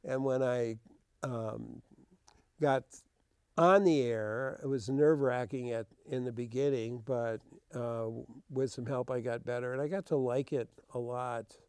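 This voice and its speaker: An older American man speaks in a warm, relaxed voice with a smooth, resonant finish. He is a long-time radio host reminiscing conversationally in a close, dry studio. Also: medium pitch, a little slow, dry recording. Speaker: veteran public radio host.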